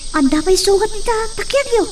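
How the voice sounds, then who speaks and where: A woman's voice: extremely high pitch, animated, fairly quick, far from the mic, slight background noise. An actress playing a worried young daughter in an audio drama, pleading with her father.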